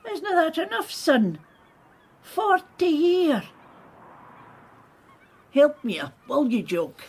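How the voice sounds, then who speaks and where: A woman playing a stubborn, wistful old Scottish aunt with a husky voice in a radio drama. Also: high-pitched, wildly swooping pitch, slow pace, heavily acted. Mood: weary, pleading. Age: older.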